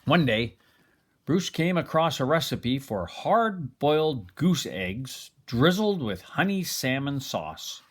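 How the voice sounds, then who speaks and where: Older man, very animated storybook reader. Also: medium pitch, slow pace, breathy edge. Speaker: grandfather reading a picture book aloud. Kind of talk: expressive children's storybook reading.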